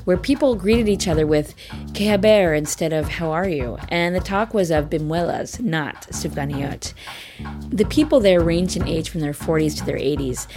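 Female podcast host, measured scripted narration, lowish voice, music bed behind. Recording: music playing under the voice.

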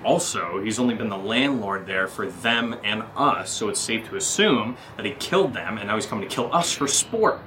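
Roomy-sounding, jumpy low-voiced young man. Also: animated, bright, forceful tone, slight background noise. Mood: speculating. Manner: casual, hesitant, halting. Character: jumpy, flippant young man investigating a creepy house. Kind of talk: naturalistic horror audio drama dialogue.

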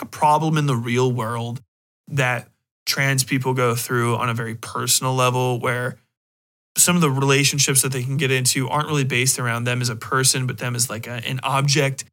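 A man's voice: mid-range voice, fairly even intonation, normal speed, bright, forceful tone. A man hosting a podcast, giving his opinions in a casual, rambling, emphatic way with plenty of swearing. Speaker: podcast host.

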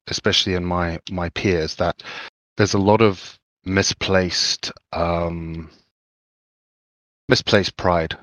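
A man from Zimbabwe, co-hosting a podcast, talks in a halting, thoughtful way and often repeats his words. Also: low-pitched, fairly bright tone, no room sound. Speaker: podcast co-host. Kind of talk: casual chat.

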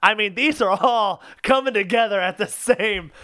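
Guy with a bright high voice on a comedy podcast, super insistent, debating casually. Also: moderate pace. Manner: insistent, argumentative. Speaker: podcast co-host.

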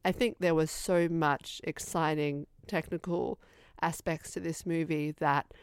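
A woman with a neutral Australian accent talks eagerly through a film review, piling up details one after another. Her voice is lower-pitched, and the recording is close and dry. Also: fairly even intonation, unhurried, clean tone, no echo. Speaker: podcast co-host. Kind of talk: casual film review chat.

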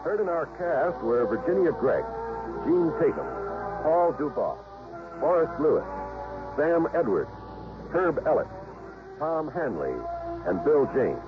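A man's voice: fairly high pitch, big pitch swings, unhurried, pauses often, muffled recording. A man announcing a 1960s radio show and its credits in a formal, polished manner, on an old recording. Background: music.

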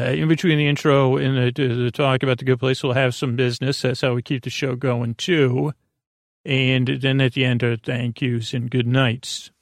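An American man hosting a sleep podcast, rambling in a halting, dreamy, whimsical monologue that meanders along. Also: mid-range voice, creaky, dry recording, studio quality. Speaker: podcast host. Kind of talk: meandering bedtime monologue to help listeners sleep.

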